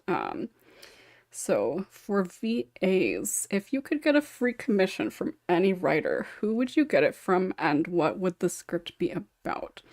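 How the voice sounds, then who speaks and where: A woman's voice: medium pitch, a little mellow, no echo, studio quality. A woman hosting a podcast in a casual, chatty way, often giggling as she talks. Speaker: podcast host.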